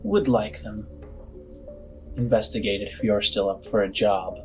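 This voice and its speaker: A shrewd, skeptical woman in charge , played by a man in a tabletop game. The delivery is slow and deliberate, with halting, matter-of-fact explanations over background music. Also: on the low side, lightly acted. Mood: measured, serious.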